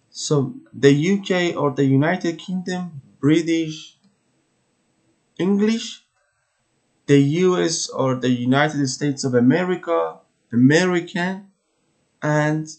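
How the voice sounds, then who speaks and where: Slow, careful male English teacher. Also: on the high side. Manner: slow, careful, repeats words for pronunciation. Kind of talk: vocabulary lesson walkthrough.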